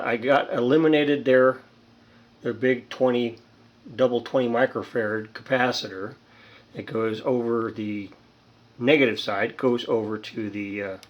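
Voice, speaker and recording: A man with a middle-pitched voice talks casually through a hands-on repair. He rambles and halts, thinking aloud as he shows his work. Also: lo-fi sound. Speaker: hobbyist radio repairer, presenter. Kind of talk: casual hands-on demonstration talk.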